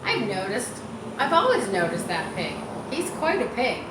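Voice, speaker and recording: A woman's voice: mid-range voice, big pitch swings, normal speed, natural voice. Woman reading as an agreeable farmhand man, admiring, plain, emphatic, storybook style, roomy echo. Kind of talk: children's storybook read aloud. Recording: roomy echo, faint background noise.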